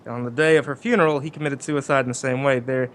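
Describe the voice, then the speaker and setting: A man acting a flustered, skeptical real estate agent in an old-time radio drama, talking casually with stammers and halting phrases. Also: medium pitch, fairly even intonation, lightly acted. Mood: earnest, grim.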